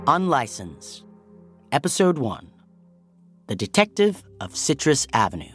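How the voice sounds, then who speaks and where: A man narrating a scripted audio drama with measured, deliberate phrasing. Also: mid-range voice, very animated, over music.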